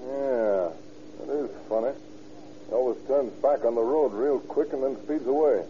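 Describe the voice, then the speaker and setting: A man's voice: mid-range voice, moderate pace, lightly acted. A man playing a fussy motorist on old radio. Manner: chatty, particular. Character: male motorist at a gas station, fussy about his gasoline and oil. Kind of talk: 1930s radio drama acting. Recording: dull old radio recording with faint hiss.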